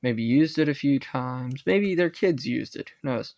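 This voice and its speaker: Male hobbyist reviewer, mid-pitched with noticeable fry; unscripted, halting, casual delivery that doubles back to correct itself. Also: animated, darkish tone. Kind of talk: casual rambling gadget review.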